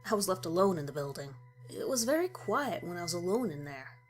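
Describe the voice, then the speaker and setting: A woman's voice: lowish voice, sing-song, a little fast, soft background music. A woman reading a scripted first-person horror story as its narrator.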